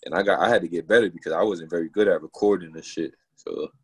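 A man, a rapper from Newburgh, New York, talking casually in an interview with a naturally deep voice, full of slang and "you know what I mean" fillers. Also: fast talker, breathy edge. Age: adult, not young. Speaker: rapper guest.

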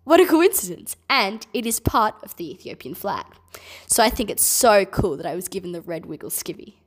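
A woman's voice: mid-range voice, sing-song, fairly quick. A woman in her twenties with an Australian accent, a children's entertainer answering kids' questions from home, bubbly and enthusiastic. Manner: bubbly, enthusiastic, speaks gently to kids.

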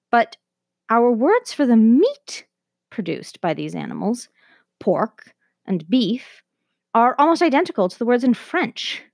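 Podcast host, Canadian woman, high and very expressive, scripted educational narration with an enthusiastic feel, dry studio sound. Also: no room sound.

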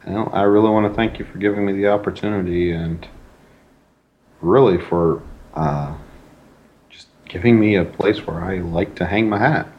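A man's voice: low-pitched, moderate pace, highs cut off. A man talking casually, modestly and earnestly as a writer being interviewed.

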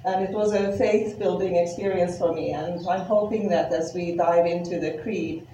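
A woman's voice: on the low side, steady tone, moderate pace, warm tone, slight background noise. A woman from Finland with a Finnish accent, giving her first sermon humbly, with light self-deprecating humor and some hesitant fillers. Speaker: lay preacher. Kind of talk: sermon introduction. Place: church hall. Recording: echoey church hall, distant mic, faint ambience.

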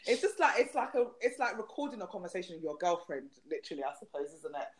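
Brief: young South London woman, podcast guest, blunt and animated storyteller. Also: fairly low pitch, quick pace. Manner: frank, swearing, laughs a lot. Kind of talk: casual chat.

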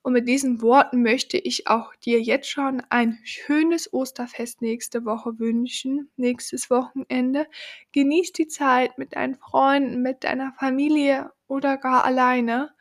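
Slow-speaking woman with a cold. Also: steady tone. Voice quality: lower than usual from a cold. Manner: slow, thoughtful, addresses the listener directly. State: has a cold. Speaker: podcast host. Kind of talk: reflective podcast monologue.

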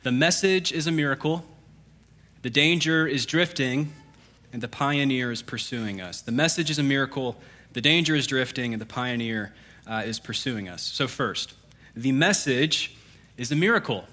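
A man in his thirties, a pastor, reading scripture and then preaching in a relaxed, joking, conversational way with fillers. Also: medium pitch, normal speed. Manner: self-deprecating jokes, conversational with fillers. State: congested.

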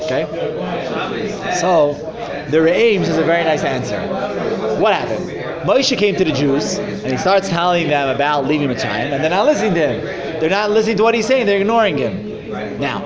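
High-voiced man teaching a Torah class. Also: animated, normal speed. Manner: explains step by step with rhetorical questions. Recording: dull lo-fi recording with loud crowd.

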